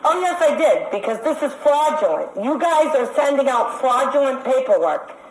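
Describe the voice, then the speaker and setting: A woman caller making a complaint over the phone, speaking in an insistent, accusatory and halting way. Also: high voice, big pitch swings, slight background noise. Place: phone call. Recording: echoey phone call recording.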